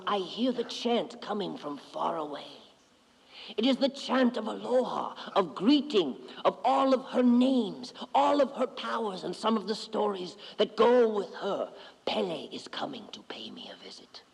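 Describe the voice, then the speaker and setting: A man voicing a grown Hawaiian son who speaks slowly and solemnly in a low, whispery voice. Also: creaky, breathy edge, in a large hall, exaggerated voice, rough recording.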